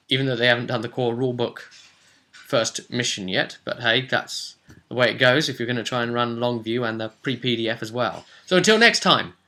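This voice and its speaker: A man hosting as game master, casually recounting a role-playing session with emphatic, animated storytelling. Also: medium pitch. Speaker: game master and vlog host.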